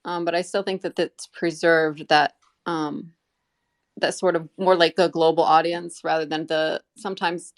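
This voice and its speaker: An American woman chatting casually as an interview guest, rambling and halting as she rephrases mid-sentence. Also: low voice, flat delivery. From United States. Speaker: interview guest, community member. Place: live online audio group chat.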